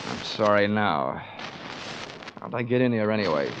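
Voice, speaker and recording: An actor playing a sardonic, hard-boiled American investigator, narrating dryly in a 1950s radio drama. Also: male voice, lowish voice, animated, moderate pace, lo-fi sound, noisy background. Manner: sardonic, wry, dry. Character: wisecracking, hard-boiled male investigator narrating his own trouble.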